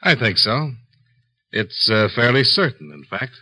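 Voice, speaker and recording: A man's voice: medium pitch, sing-song, a little slow, bright, forceful tone, heavily acted. A man playing a cool, laconic insurance investigator in a 1950s radio detective show, dry and knowing. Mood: businesslike, cool. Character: wry, cool, tough male insurance investigator. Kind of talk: 1950s radio detective acting and first-person narration.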